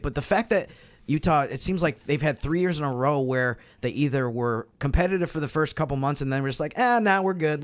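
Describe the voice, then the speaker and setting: A man on a sports podcast panel, explaining his views in a measured, casual analytical way. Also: medium pitch.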